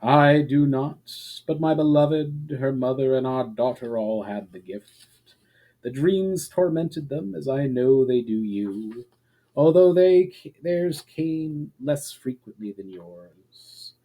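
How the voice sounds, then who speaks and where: Storybook elf guard, male, ancient man acting: mellow, middle-pitched, formal, measured, gently weary and wistful. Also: animated, poor audio. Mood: sad, resigned. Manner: formal, measured, archaic phrasing. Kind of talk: dramatic storybook reading.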